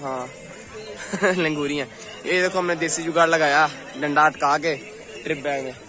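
Hoarse young man vlogging to his phone. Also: a little high, normal speed. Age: young adult. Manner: chatty, excited, talks straight to the viewer. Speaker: travel vlogger. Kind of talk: casual vlog to camera. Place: outdoors at night on a crowded pilgrimage route, and in a busy eatery. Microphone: phone held at arm's length. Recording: crowd noise in the background.

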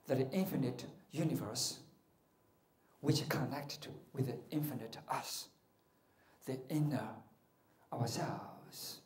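A middle-aged man gives a playful spiritual talk on a stage, quizzing the crowd and joking as he goes. His voice sits at an ordinary pitch and rings through a big, echoey hall from a handheld mic. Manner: animated, joking, asks the audience questions. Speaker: martial arts and meditation teacher. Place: large hall with curtained stage.

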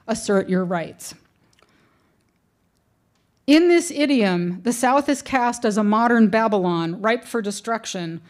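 A middle-aged woman reading a prepared lecture from notes at a lectern, with dry humorous asides, into a lectern microphone in a wood-paneled room. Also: on the high side.

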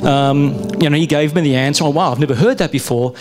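A man's voice: fairly high pitch, quick pace, music in the background. A middle-aged man from Australia with an Australian accent, sharing a personal testimony on a church stage in a chatty, storytelling way that is full of restarts, speaking into a handheld mic. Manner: conversational storytelling with self-interruptions. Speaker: guest speaker.

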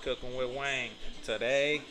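A man's voice: mid-range voice, steady tone, unhurried, music in the background. A young man hosting a casual food review vlog in a small restaurant, talking in hyped, slang-heavy banter into a phone camera. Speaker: vlog host. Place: small restaurant dining room.

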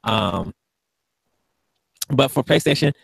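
A man's voice: medium pitch, lively intonation, slow, vocal fry, dry recording. A young man co-hosting a casual gaming podcast, reasoning through his points earnestly on a studio mic at home. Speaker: podcast co-host. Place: home room with game posters. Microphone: studio mic on boom arm with headphones.

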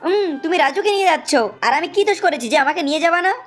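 A woman plays a very high-voiced, childish panda creature in a cartoon. It talks fast, whining and making stubborn, greedy demands, with loud music behind it. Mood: whiny, stubborn. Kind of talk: cartoon dubbing.

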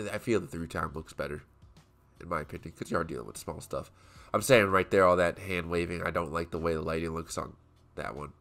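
Stuffed-up guy with a real low gravelly voice, rambling at his desk, keeps restarting his sentences. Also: fairly bright tone. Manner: rambling, self-correcting, offhand. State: stuffed-up nose. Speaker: toy photography YouTuber. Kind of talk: casual rambling commentary while working. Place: home desk with small photo set.

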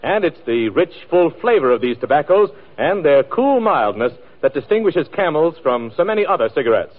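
Old-time american radio ad guy, formal and polished, slightly high voice, narrow muffled sound. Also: very animated, moderate pace. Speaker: radio announcer. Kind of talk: 1940s radio ad read.

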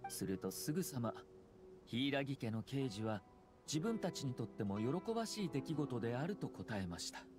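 A man voicing a courteous, calculating young clan head in a Japanese anime game, smooth, formal and measured. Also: medium pitch, sing-song, natural voice. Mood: calm, reflective. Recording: game music underneath.

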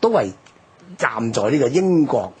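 An older man with a Hong Kong accent and a very husky voice. He recounts history for a radio talk, explaining things emphatically. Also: medium pitch, big pitch swings, unhurried, dark tone.

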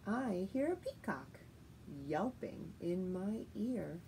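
A middle-aged woman, a teacher reading a picture book aloud to young children in a deliberate, sing-song, expressive way, recorded at home. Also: medium pitch. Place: living room at home, indoors. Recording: faint steady noise, home room recording.

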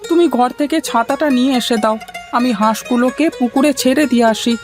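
A woman's voice: high-pitched, a little fast, a little mellow, faint music behind, close to an everyday voice. A woman voicing a poor, worried village father in a cartoon dub.

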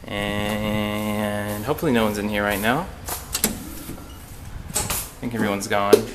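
A young man giving a casual, halting, understated walk-through tour on a handheld camera. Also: little pitch movement, noisy background. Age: young adult. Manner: low-key, halting, understated. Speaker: exchange student giving a tour. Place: indoors in a student dormitory, walking through rooms.

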